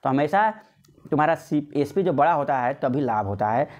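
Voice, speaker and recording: A man's voice: on the high side, lively intonation, normal speed, darkish tone. A man teaching an informal exam-prep maths lesson step by step, often repeating himself and answering his own questions. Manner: repetitive, asks questions and answers them himself.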